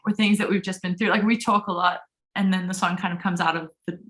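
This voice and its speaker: A woman musician from Denmark answering interview questions in a relaxed, thoughtful way. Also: on the low side, fast. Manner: relaxed, thoughtful, trailing off and restarting.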